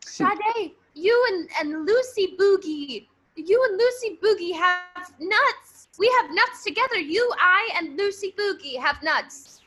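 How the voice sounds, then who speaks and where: A woman's voice: very high-pitched, lively intonation, takes it slowly, sharp tone, exaggerated voice, low-quality recording. A woman improvising a comedy role as an eager, gushing news reporter. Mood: excited.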